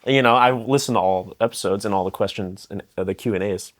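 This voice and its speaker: A man's voice: lowish voice, quick pace. A man in his thirties chatting casually as a podcast guest, loose and profane, often breaking off and restarting. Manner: loose, profane, self-interrupting.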